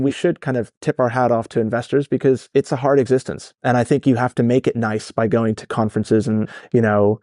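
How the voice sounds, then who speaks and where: A man's voice: mid-range voice, warm tone, no echo, clean studio sound. A man in his thirties, Dutch-born and raised in California, with an American accent, talking candidly and animatedly in an interview, rambling with fillers. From Netherlands, raised in California. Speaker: startup founder, guest. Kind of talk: casual interview talk.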